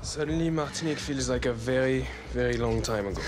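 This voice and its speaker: A man's voice: medium pitch, quick pace, noisy background, lightly acted. A man playing a wry, playful footballer in a video game cutscene. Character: playful, wry French male footballer teammate.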